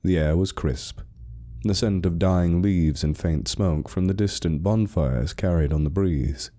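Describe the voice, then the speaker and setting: A man narrating a scripted horror story like a dramatic audiobook, in a measured, deliberate and ominous way. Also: low-pitched, dark tone.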